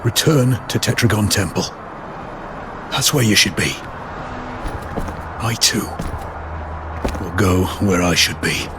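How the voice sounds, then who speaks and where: An actor voicing a solemn, resigned squad leader in a game, speaking earnestly. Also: male voice, fairly low pitch, lively intonation, slow pace, long pauses, noisy background. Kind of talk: game voice acting.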